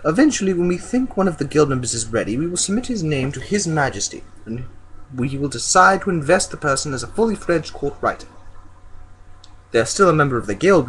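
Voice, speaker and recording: Man voicing a chatty, high-pitched little rat-man. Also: fairly bright tone, poor audio. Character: short anthropomorphic rat-man writer, good-natured and mischievous.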